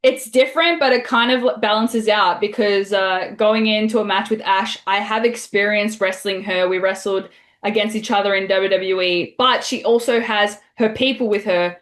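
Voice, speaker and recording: A young woman from Australia answering interview questions in a relaxed, chatty way, with many fillers. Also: medium pitch, normal speed. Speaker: interview guest.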